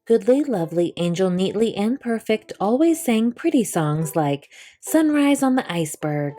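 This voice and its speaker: A woman reading a children's picture book aloud as a storyteller, with expressive delivery. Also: mid-range voice, moderate pace.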